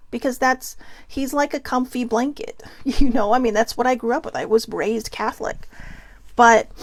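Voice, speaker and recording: Chatty woman host, gravelly edge. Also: fairly high pitch, dry recording. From California. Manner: earnest, chatty, with frequent ums and asides. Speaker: podcast host. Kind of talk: casual monologue.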